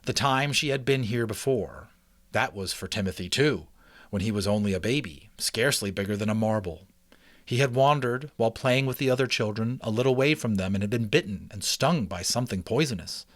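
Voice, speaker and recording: A man narrating a children's novel as a dramatic, expressive audiobook reading. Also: lowish voice, fairly quick, no room sound. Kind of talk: dramatic children's book reading with chatty asides.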